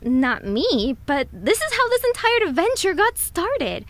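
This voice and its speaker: A woman playing an earnest, caring younger sister, a student, in an audio drama, with an expressive and insistent delivery. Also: very high-pitched, a little slow, exaggerated voice. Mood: excited.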